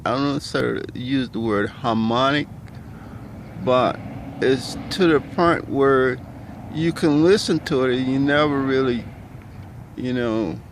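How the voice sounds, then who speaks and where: Slow middle-aged guy with a baritone, lots of ums, traffic going by outside. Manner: hesitant, many ums and restarts, loose and conversational. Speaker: vlogger. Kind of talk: casual rambling monologue. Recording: clear outdoor traffic noise. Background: cars and street noise.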